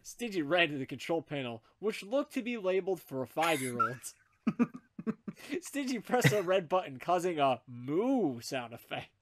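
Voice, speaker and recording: A man narrating fan fiction in an amateur comedic group reading, animated and dropping wry asides. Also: on the high side, normal speed, crowd noise.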